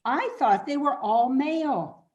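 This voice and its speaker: A woman's voice: high voice, animated, unhurried, a little mellow, muffled recording. A woman playing a curious, doubting young boy in an amateur radio play, earnest and questioning. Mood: puzzled, doubting.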